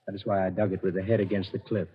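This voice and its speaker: Stoic, defiant captive, lowish husky voice, solemn measured delivery, 1940s radio horror, muffled sound. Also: male voice, level tone. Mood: calm defiance. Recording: dull old radio recording.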